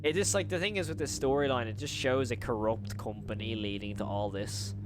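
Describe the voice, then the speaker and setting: A man's voice: medium pitch, steady tone, brisk, background noise. A man giving casual reaction commentary, informal and exclamatory, often repeating himself. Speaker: host of horror reaction channel.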